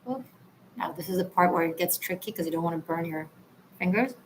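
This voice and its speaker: A woman's voice: fairly low pitch, a little fast, slight background noise, poor audio. A woman from Israel hosting a casual livestream, chatting loosely and answering viewers' comments with frequent restarts. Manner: relaxed, chatty, with frequent restarts and soft trailing asides. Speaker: livestream host, tarot reader.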